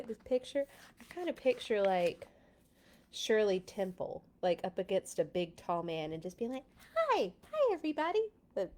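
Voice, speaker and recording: A woman hosting a children's read-aloud, expressive, with dramatic playful delivery and casual asides. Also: mid-range voice. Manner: dramatic, playful sound effects.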